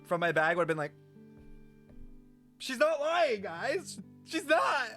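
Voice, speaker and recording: A man's voice: very high voice, very animated, slow, a bit bright. A young man livestreaming a game with casual, sarcastic, slangy and profane commentary over music.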